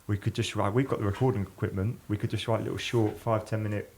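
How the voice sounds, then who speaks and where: Male podcast host, lowish pitch, rapid casual delivery. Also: fairly even intonation, gravelly, darkish tone, a little hiss or hum.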